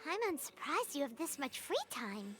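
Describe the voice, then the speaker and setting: A woman's voice: sits high, sing-song, normal speed, a bit bright, faint music behind. A woman voicing a small, childlike fairy companion in a video game, perky, cheeky and exclamatory. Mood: curious, teasing. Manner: exclamatory, teasing.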